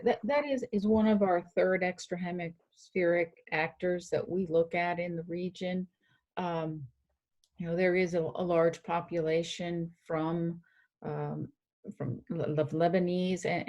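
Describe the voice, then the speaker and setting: Woman expert on a panel, soft mellow tone, kinda low, halting delivery. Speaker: regional security expert on a panel. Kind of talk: informal expert briefing.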